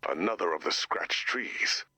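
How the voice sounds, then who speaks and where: An actor playing a grave, anxious director cursed to become a werewolf, in an earnest, dramatic video game style with a gravelly voice. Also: male voice, low-pitched, a little slow, pushed and bright, no echo, exaggerated voice. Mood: earnest, exasperated. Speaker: director of a base. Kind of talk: animated video game voice acting.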